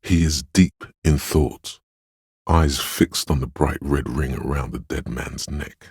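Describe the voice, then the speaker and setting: A man voicing a game's empathetic inner voice, a perceptive and tender narrator with a husky, very deep voice and measured, literary delivery. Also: darkish tone, no room sound, clean studio sound, heavily acted. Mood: grave, observant. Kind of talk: scripted game narration.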